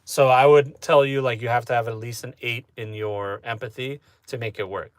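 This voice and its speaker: A man chatting casually with his live-stream audience, relaxed and talkative, often asking "you know what I mean?". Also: medium pitch, normal speed, smooth, no room sound. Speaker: streamer answering chat.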